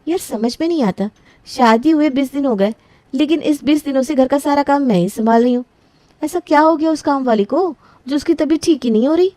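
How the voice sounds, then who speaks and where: A scheming young bride bursts into frantic self-talk and sharp outrage, as a woman acts her out. The voice sits fairly high, and the recording is dry and close. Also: dry recording, close to an everyday voice. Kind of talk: animated cartoon voice acting.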